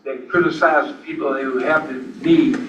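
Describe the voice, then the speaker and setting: Loud emphatic guy on a panel, echoey room, background noise. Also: fairly high pitch, fairly even intonation, normal speed, recorded from a distance. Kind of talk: panel discussion. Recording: dull echoey hall mic with room noise.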